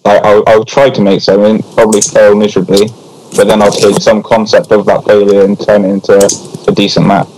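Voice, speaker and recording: Brief: guest, low monotone, stop-start delivery. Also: male voice, a little hiss or hum, poor audio. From England. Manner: halting, many restarts. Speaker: guest map builder. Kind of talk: casual group voice chat.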